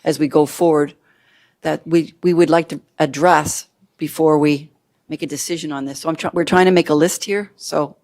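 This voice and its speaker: A man's voice: a little high, steady tone, normal speed, darkish tone. A man chairing a council meeting, politely but firmly redirecting a speaker, with hesitant restarts.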